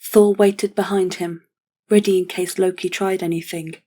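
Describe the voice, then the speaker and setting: A woman reading scripted fantasy narration for an audio drama in a measured, solemn way. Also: on the low side, steady tone, warm tone.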